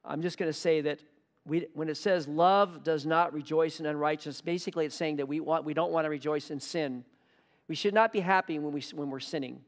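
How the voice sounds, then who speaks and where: An earnest male pastor preaches, leaning on key words and repeating them for emphasis. His voice sits a little high and trails a long reverb through a church hall. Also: brisk. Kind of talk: sermon.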